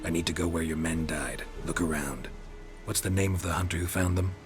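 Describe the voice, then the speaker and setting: A man playing a gruff, dry-witted monster hunter for hire in a video game, terse and deadpan. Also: sits low, brisk, over music, lightly acted. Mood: matter-of-fact. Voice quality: gravelly. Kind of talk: understated video game acting.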